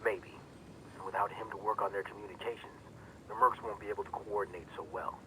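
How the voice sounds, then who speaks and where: An actor playing a businesslike intelligence handler briefing soldiers in a video game, matter-of-fact. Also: male voice, lowish voice, fairly even intonation, fast, pushed and bright. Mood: grave briefing. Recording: noisy ambience behind.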